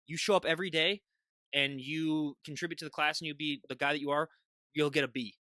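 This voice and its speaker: Fast-talking sarcastic young man. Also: on the high side, steady tone, sharp tone, dry recording. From Midwest US. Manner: sarcastic, joking. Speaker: podcast host. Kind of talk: casual chat.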